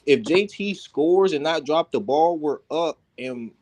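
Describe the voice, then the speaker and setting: A man's voice: fairly high pitch, expressive, unhurried, a little mellow, highs cut off. Insistent, slangy man arguing sports. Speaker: sports talk panelist. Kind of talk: heated casual sports debate.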